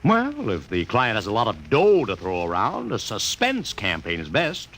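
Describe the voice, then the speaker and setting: Ad agency chief: slangy wise guy, forceful and animated, vintage radio acting. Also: on the low side, normal speed, full character voice. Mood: breezy, confident. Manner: breezy, slangy, wry. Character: brisk, wisecracking, money-minded male advertising agency boss. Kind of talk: old-time radio drama acting. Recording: noisy old radio recording.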